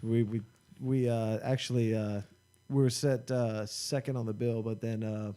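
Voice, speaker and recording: A man's voice: medium pitch, level tone, unhurried, mellow, smooth. A man in his thirties, a band member guest, talking easygoing and teasing with lots of fillers. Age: 30s. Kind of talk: casual chat.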